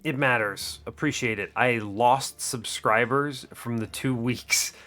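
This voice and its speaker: A man's voice: medium pitch, takes it slowly, pushed and bright. Sick-sounding man with muted, nasal voice. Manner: rambling, self-correcting. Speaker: gaming channel host. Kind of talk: game commentary. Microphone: desk microphone.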